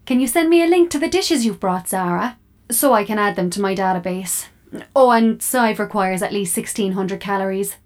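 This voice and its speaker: A woman's voice: mid-range voice, normal speed, close to an everyday voice. Woman voicing a fussy opinionated fridge. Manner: prim, chiding, protective. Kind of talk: radio drama acting.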